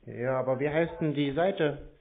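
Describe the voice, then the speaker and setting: A man's voice: mid-range voice, normal speed. Dry, unruffled man, phone-like sound. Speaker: scam-baiting call recipient. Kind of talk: casual phone conversation. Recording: narrow, tinny phone-like sound.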